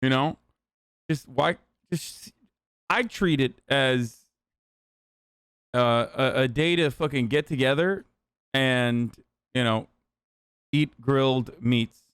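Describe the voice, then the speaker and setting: A man's voice: mid-range voice, slow pace, long pauses, fairly bright tone, clear, resonant tone, no echo, studio quality. A young man from Turkey doing casual livestream commentary, ranting loosely and swearing for emphasis. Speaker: streamer reacting to a video.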